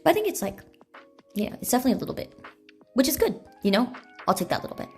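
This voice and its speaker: A woman's voice: sing-song, very fast, darkish tone, faint music behind. A woman livestreamer chatting in a rambling, conversational way over a phone microphone in an echoey room. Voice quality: self-described deeper speaking voice. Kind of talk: casual livestream chat while eating snacks. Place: echoey indoor room at night.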